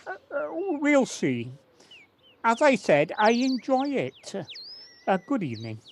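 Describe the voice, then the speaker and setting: A woman's voice: on the high side, wildly swooping pitch, takes it slowly, heavily acted. A woman playing a modest, dutiful male gardener, hesitant and politely evasive, in an amateur audio drama. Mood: polite, reticent. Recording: faint noise, home-recorded on personal device.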